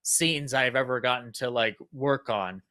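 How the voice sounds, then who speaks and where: A male host chats casually with his guests in a bright, punchy voice. He is effusive and enthusiastic, and the sound is dry with very little room around it. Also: mid-range voice, measured pace, no room sound. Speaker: host and producer of a radio show recreation. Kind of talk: casual panel chat.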